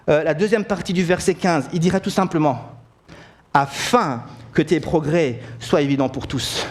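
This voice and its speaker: A middle-aged man with a Belgian French accent, preaching a sermon in a church, building to emphatic declarations and often restarting his sentences. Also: high-pitched. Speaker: pastor. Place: church sanctuary.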